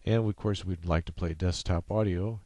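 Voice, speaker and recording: A man with a low voice narrates a screen-capture tutorial. He explains each step off the cuff, halting as he goes, in a casual way. The sound is dry, with no room to it. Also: steady tone, dark tone, no echo. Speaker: software tutorial presenter. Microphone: USB microphone.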